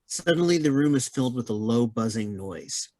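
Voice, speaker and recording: A man's voice: medium pitch, lively intonation, moderate pace, darkish tone, no echo. A man reading stage directions aloud as scripted narration.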